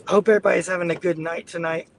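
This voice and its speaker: Man with a raspy, flu-worn voice, a bit higher pitched, light road noise behind him, talks to viewers while driving. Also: steady tone, rapid-fire. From Southeast Georgia. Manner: easygoing, addresses viewers as y'all. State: getting over the flu, hiccups. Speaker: weather livestreamer. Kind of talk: live storm-chasing stream commentary. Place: inside a car, driving on icy roads.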